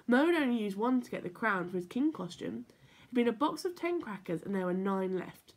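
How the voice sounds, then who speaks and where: A woman reading a children's story aloud in an expressive, playful, emphatic way. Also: fairly high pitch, brisk. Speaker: story time reader for children.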